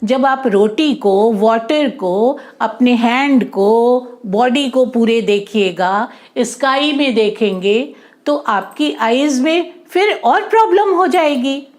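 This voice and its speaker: Children's storybook role: clever young boy played by a woman, high, animated, questioning, confident, unhurried. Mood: explaining.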